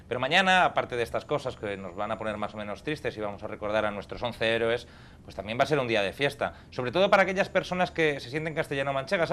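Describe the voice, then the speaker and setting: A man's voice: medium pitch, a bit bright. A man anchoring a news programme, asking questions in a studio conversation.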